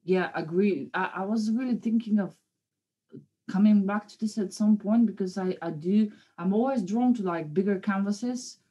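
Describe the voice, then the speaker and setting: A woman's voice: medium pitch, moderate pace, warm tone, husky, highs cut off. A woman in her thirties from Bulgaria with a Bulgarian accent, chatting informally and a little hesitantly as an interview guest. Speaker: guest, painter. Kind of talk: casual interview chat.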